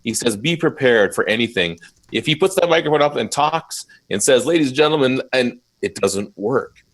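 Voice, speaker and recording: A man who runs a mobile DJ company, chatting in a casual live interview and telling stories with lively jumps in his voice. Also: mid-range voice, moderate pace. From Minnesota, USA. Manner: animated storyteller, voice jumps up when quoting.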